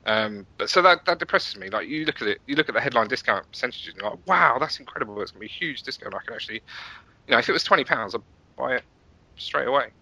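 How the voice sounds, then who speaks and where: A man's voice: mid-range voice, animated, quick pace, creaky, bright, forceful tone, no room sound, low-quality recording. A British man chatting casually as a podcast guest, talking in a rambling, self-interrupting way. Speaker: podcast guest, web developer.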